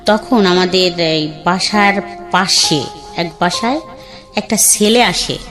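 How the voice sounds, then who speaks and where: A woman in her thirties with a colloquial Bangladeshi accent tells her own life story in a plain, conversational way. She slips into other people's words as she recalls them. Her voice sits a little low, and faint music plays behind her. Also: slow pace. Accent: Bangladeshi colloquial Bengali. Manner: plain conversational, acts out quoted dialogue. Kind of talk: candid storytelling.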